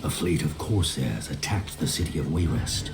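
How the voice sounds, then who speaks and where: A man's voice: very deep voice, animated, normal speed. A man narrating a fantasy game story in a solemn, scripted storytelling style. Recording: roomy echo, faint music behind.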